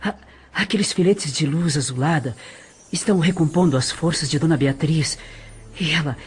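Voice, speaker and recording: An actor playing a compassionate, reverent spirit healer in an audio drama, gentle and slightly hesitant. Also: male voice, fairly high pitch, moderate pace. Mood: awed. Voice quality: husky, breathy.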